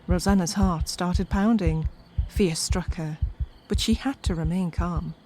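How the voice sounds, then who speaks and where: A woman storyteller with a slightly low voice. She narrates a scripted comedy tale in a wry way, slipping conversational asides to the listener. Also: unhurried, mellow, a little hiss or hum, low-quality recording.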